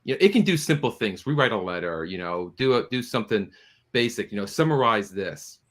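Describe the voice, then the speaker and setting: A man's voice: on the low side, expressive, brisk. A man speaking as a studio producer and guest in a panel interview, explaining things earnestly and with emphasis.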